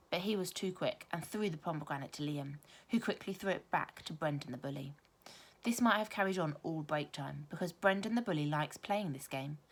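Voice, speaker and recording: A woman reads a children's storybook aloud expressively, like a primary teacher, with careful emphasis and dramatic pauses. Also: low voice.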